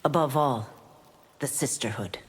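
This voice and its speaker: Low-voiced stern lawkeeper. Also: female voice, a little slow, breathy edge, heavily acted. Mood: stern, dutiful. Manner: formal, firm. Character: stern, dutiful female imperial law officer. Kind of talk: video game dialogue before fights. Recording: noisy game arena background.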